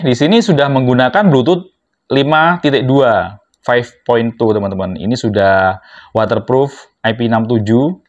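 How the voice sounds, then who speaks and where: Low-fidelity recording of a casual man reviewing. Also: a little mellow, muffled recording. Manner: informal, explains step by step to viewers. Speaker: product reviewer, vlogger. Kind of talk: casual unboxing and product demonstration.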